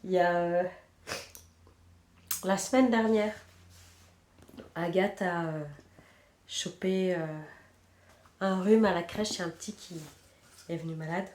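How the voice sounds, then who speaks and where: A woman's voice: fairly low pitch, fairly even intonation, unhurried, pauses often, darkish tone, roomy sound. Chatty woman with a stuffy head cold. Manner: chatty and self-mocking, trails off counting stitches. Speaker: knitting podcast host. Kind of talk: casual chat while knitting.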